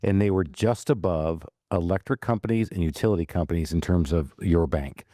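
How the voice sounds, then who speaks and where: A man's voice: low-pitched, no echo, studio quality. A man hosting a business podcast, chatting casually and joking with his guest and co-host. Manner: lively, joking, quick interjections. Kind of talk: casual chat.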